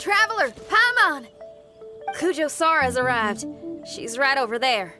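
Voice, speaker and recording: A woman's voice: sits high, big pitch swings, unhurried, music in the background. A woman voicing a spirited, loyal young street musician in a video game, informal and earnest. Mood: excited, grateful.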